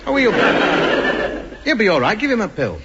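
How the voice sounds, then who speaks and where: A man's voice: high voice, wildly swooping pitch, moderate pace. An actor playing a sarcastic, impatient, blustering man in a 1950s radio comedy. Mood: mocking, dismissive. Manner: sarcastic, exclamatory, mocking. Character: sardonic, impatient, blustering male householder. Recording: very noisy, dull old radio recording.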